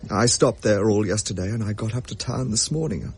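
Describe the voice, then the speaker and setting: An actor as a haunted young gentleman, husky voice. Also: lowish voice. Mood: shaken, doomed. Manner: grave, old-fashioned storytelling with halting stammers. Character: haunted, shaken young gentleman recounting a ghostly encounter. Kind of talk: dramatic period radio drama narration.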